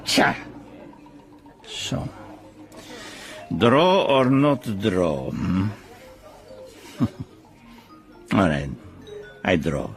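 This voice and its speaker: An actor playing a theatrical, jovial yet needling Italian gambler in a radio drama, effusive and full of questioning hums, with an Italian accent. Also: male voice, low-pitched, very animated, drawn-out words, vocal fry, long pauses, faint music behind, full character voice. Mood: needling, suspicious.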